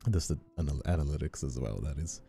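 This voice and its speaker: A man in his twenties who sounds older than his years, chatting casually on a livestream in a halting, rephrasing way. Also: very deep voice, lively intonation, vocal fry, warm tone, husky, soft background music. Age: 20s. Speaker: ASMR streamer and voice actor.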